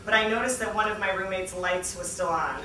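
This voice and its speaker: A young adult woman giving an animated, comedic live reading of a memoir to an event, performing the dialogue as she goes. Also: medium pitch, a little fast. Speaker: guest reader at a book launch. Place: small theatre stage at a lectern, indoors. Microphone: distant camera. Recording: roomy, noisy, distant event recording.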